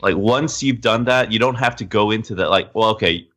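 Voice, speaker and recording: Low-voiced male podcaster explaining casually. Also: fairly quick, fairly bright tone. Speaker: podcast co-host. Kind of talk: casual explanatory tech chat.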